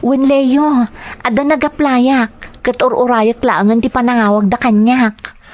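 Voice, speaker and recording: A woman's voice: on the high side, steady tone, measured pace, a little mellow, lightly acted. An actress playing an affectionate, independent young Ilocano woman fresh out of college in a radio drama. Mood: warm, reassuring. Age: young adult.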